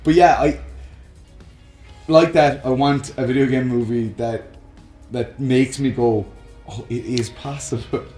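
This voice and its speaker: A man's voice: medium pitch, steady tone, unhurried, long pauses, warm tone, roomy sound, soft background music. A man co-hosting a casual, jokey banter show about movies, often chuckling as he talks. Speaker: co-host of a film discussion show.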